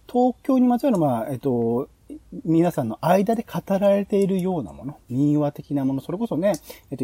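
A man's voice: sits high, mellow, husky, no room sound. A man hosting a podcast, explaining ideas casually and thinking aloud in long, loosely linked sentences. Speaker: podcast host. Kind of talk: casual explanatory monologue pitching show ideas.

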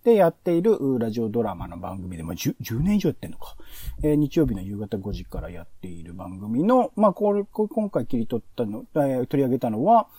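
A man's voice: mid-range voice, sing-song, a little fast, dark tone, husky, no echo. A man co-hosting a podcast, casually reviewing audio programmes in a hedging, self-correcting explanatory way. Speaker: podcast co-host.

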